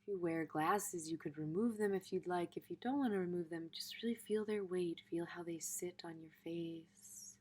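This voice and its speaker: A woman teaching a gentle guided meditation in an informal, tentative way, pausing in the middle of her phrases. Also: on the low side.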